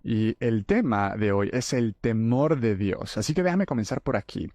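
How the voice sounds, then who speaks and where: A man's voice: medium pitch, lively intonation, moderate pace, darkish tone, no echo. A man from El Salvador, hosting a faith podcast and earnestly talking straight to his listeners as friends. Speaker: podcast host.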